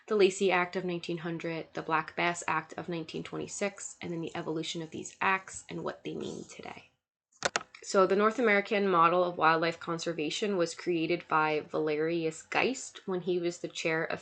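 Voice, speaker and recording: A young woman delivers a recorded slide lecture in a fairly low voice. She is sick, so her tone is stuffy and nasal. She works through lists of points steadily, with small fillers between them. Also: a bit bright. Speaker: student lecturer.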